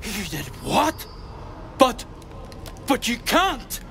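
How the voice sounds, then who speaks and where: A man's voice: extremely high pitch, very animated, drawn-out words, vocal fry, bright, forceful tone, slight background noise, full character voice. An actor playing a doomed, panicking man in a video game, frantic and terrified of dying. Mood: alarmed disbelief. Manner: frantic, crying out.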